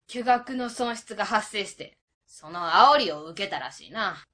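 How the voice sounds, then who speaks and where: A woman acts out a hot-tempered Kansai auntie for a radio drama. Her voice is bright and forceful, swinging widely in pitch. She scolds bluntly in colloquial speech. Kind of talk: web radio drama acting.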